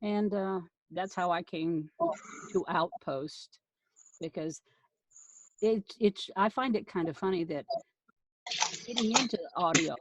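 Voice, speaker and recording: A woman who does amateur voice acting, chatting casually on a live stream in a halting, thinking-aloud way. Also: on the low side, no echo, poor audio. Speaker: volunteer audio drama voice actor.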